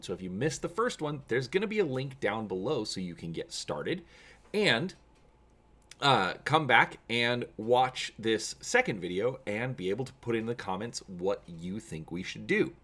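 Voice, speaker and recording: A man's voice: medium pitch, very animated, a little slow, pushed and bright, slightly rough. A man hosting a tabletop gaming channel, chatting to viewers and reading an adventure story aloud as narrator. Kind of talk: casual video intro and dramatic reading of story narration.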